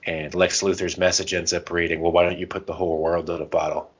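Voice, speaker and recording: A man's voice: low-pitched, little pitch movement, fast, slightly rough, highs cut off. A man co-hosting a podcast in casual chat, with an irreverent, self-deprecating way of talking. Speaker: podcast co-host.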